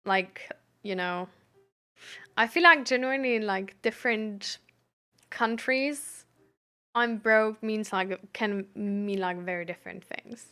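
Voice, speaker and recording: Girl streamer just chatting, really unhurried, lots of likes and stop-start phrasing, giggly. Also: medium pitch, bright, forceful tone, clear, resonant tone. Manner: halting, giggly, frequent "like" fillers. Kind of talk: casual chat, reading and answering viewer messages.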